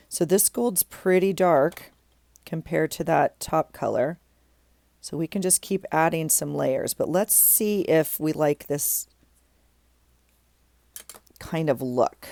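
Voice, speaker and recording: A woman's voice: low-pitched, slow pace, gravelly, pauses often, mellow, no echo. A middle-aged woman with a stuffy nose, chatting casually as she works on a craft project, thinking aloud with many ums and restarts. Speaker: craft vlogger.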